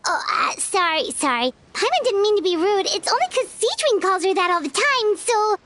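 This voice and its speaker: A squeaky little fairy sidekick. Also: female voice, sing-song, moderate pace, heavily acted. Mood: chatty. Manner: refers to herself in the third person. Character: small floating fairy companion, chatty and excitable. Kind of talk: video game dialogue. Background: soft music.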